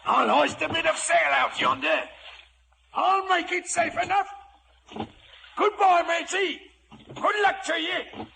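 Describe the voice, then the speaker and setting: An actor playing a wily, one-legged old pirate with a West Country dialect and a gravelly voice in a 1950s radio drama. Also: male voice, very high voice, takes it slowly, long pauses, full character voice. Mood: fond, relieved. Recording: noisy vintage radio broadcast.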